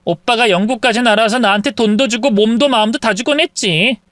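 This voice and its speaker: A woman voicing a scheming young mistress who taunts and shouts in a dramatized story. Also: brisk, no room sound, studio quality, close to an everyday voice. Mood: mocking. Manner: taunting, shrill when shouting.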